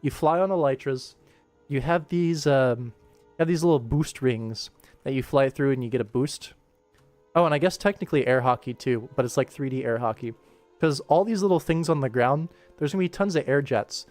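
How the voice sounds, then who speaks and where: A young man with a mid-pitched voice hosts a casual work livestream. He rambles and thinks out loud in a playful, informal way, with music playing behind him. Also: normal speed, no echo. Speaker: livestream host and animator. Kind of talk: casual livestream chat while working.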